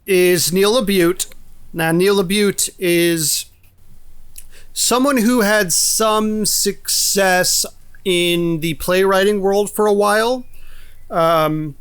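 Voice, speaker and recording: A man talking casually about films as a podcast panelist, phrasing his thoughts in a halting, measured way. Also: sits high, a bit bright, smooth. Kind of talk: casual film discussion.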